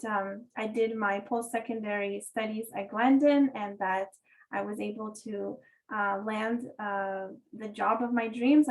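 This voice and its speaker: A woman in her late twenties speaking on an online alumni panel, earnest and eager, with many ums and pauses as she talks about her teaching job. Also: mid-range voice, poor audio.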